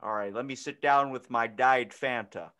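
A man's voice: mid-range voice, moderate pace, pushed and bright, smooth, voice pushed down, natural voice. A man voicing a clueless, senile sports-fan dad who rambles in an improvised comedy phone skit. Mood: confused, chatty. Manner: rambling, oblivious, chatty.

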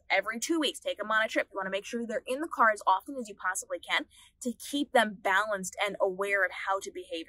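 A woman's voice: fairly high pitch, no room sound, rough recording. A woman in her thirties giving earnest dog-training advice from the back seat of a parked car, filmed at arm's length. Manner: earnest, steady advice-giving with repeated phrasing. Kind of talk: instructional vlog talk. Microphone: phone or camera at arm's length.

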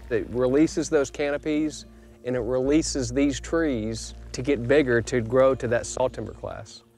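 A man's voice: medium pitch, measured pace, a little mellow, soft background music. A man, a wildlife biologist, enthusiastically explaining young pine forests and wildlife habitat while outdoors in a pine forest.

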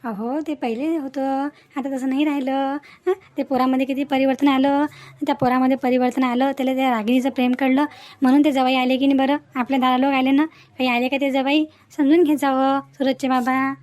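High-voiced, soothing middle-aged mother played by a woman. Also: little pitch movement, brisk, a little mellow, close to an everyday voice. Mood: placating. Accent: Vidarbha Marathi. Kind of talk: cartoon family drama dialogue.

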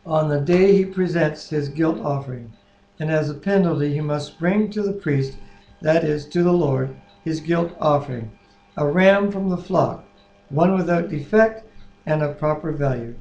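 Higher-pitched older man, mellow and roomy. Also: normal speed, vocal fry, muffled recording. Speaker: Bible reader and co-host. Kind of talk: reading scripture aloud with brief chat. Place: living room at home, indoors. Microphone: webcam at arm's length. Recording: roomy, echoing webcam sound.

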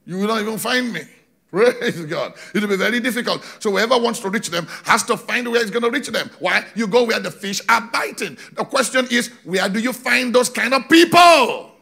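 A middle-aged man preaching on a large church stage into a handheld microphone, animated, slowing down for emphasis and shouting key points. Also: high voice, creaky. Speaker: pastor. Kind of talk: sermon. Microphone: handheld mic.

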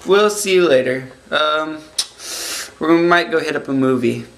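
A man's voice: on the high side, a little slow, gravelly, fairly bright tone, slight background noise. Upbeat young man filming himself. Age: young adult. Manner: upbeat, joking tour-guide talk. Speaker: vlog host. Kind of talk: casual vlog narration. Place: van, parking lot, hotel. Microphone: handheld camera close to face.

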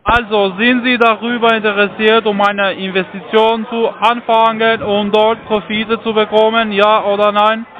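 A man's voice: high voice, level tone, moderate pace, few pauses. A man with a foreign accent, a non-native speaker of German, talking as a call centre salesman on a phone call. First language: not German.

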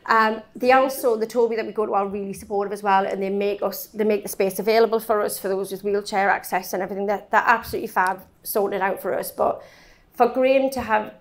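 Woman, mid-pitched voice, friendly plain storytelling, handheld mic, panel. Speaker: support group organiser. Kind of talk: panel discussion talk. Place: conference room with audience.

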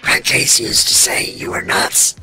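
A man playing an excitable, mouthy robot with a raspy voice, speaking bluntly in an amateur comic dub. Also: unhurried, faint music behind. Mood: wry. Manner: indignant, blunt, exclamatory.

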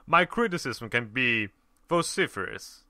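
A man's voice: mid-range voice, sing-song, measured pace, pushed and bright, no echo, clean studio sound, exaggerated voice. A man voicing a suave, teasing but reassuring shadowy being in a dramatic story reading. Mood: gentle, probing. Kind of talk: dramatic fan fiction reading.

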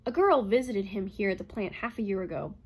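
Lonely bitter woman psychologist, late thirties read by a woman; breathless, tense, husky. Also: medium pitch, expressive, a little fast, darkish tone. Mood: hard. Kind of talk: audiobook character reading.

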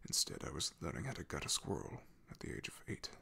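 A man playing a loyal, shy, soft-spoken knight in an ASMR fantasy roleplay, in a hushed, breathy, gravelly voice with a hesitant, halting manner. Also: extremely low pitch, very animated, heavily acted. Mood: awkward, hesitant.

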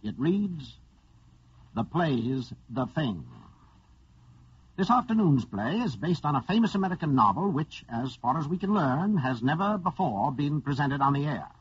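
A husky-voiced man giving a formal, theatrical scripted introduction as a 1940s radio theater host. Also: fairly high pitch, very animated, a little slow, dry recording. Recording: muffled scratchy 1940s radio recording.

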